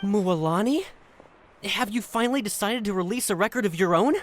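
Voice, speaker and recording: A woman's voice: mid-range voice, animated, moderate pace, close to an everyday voice. A woman playing a casual, music-loving young record seller in a video game. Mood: hesitant.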